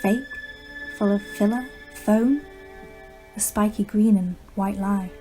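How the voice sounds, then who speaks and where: Casting: woman as unsettled, self-justifying plant owner in a poetic radio play, hushed and halting, music clearly underneath. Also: mid-range voice, natural voice, poor audio. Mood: bitter, defensive. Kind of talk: radio-drama style poetic monologue.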